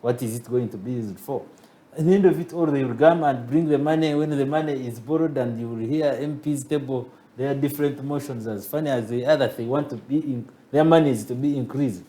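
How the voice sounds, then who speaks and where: A man with a Ugandan accent speaks in a measured way on a talk show. His voice is mellow and warm, and he keeps asking rhetorical questions as he builds his argument. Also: mid-range voice. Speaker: advocate guest.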